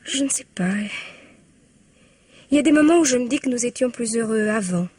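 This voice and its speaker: An actress playing an attentive, anxious and neglected wife, tentative and solicitous, in 1950s French radio mystery style. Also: female voice, on the high side, expressive, brisk, darkish tone, husky. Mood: tentative, pleading.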